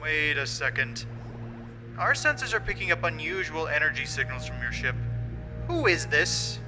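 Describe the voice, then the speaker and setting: A man's voice: on the high side, lively intonation, moderate pace, music in the background, close to an everyday voice. An actor playing an officious, threatening male fleet commissioner in a fan audio drama. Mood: stern.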